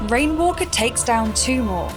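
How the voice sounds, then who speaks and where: A woman with a fairly high voice narrates a science fiction audio drama. Her read is scripted story narration, set against very loud music. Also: big pitch swings, measured pace.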